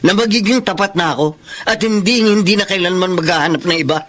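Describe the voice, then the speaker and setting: An actor playing a defensive, pushy, unfaithful husband in a Filipino romantic radio drama. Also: male voice, high voice, fast, fairly bright tone. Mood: defensive, exasperated.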